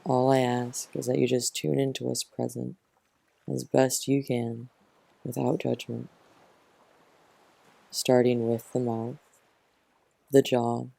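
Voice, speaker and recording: A man who teaches yoga nidra, gently guiding a relaxation meditation in a soothing, unhurried manner, close to the mic. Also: mid-range voice, level tone, vocal fry, no echo.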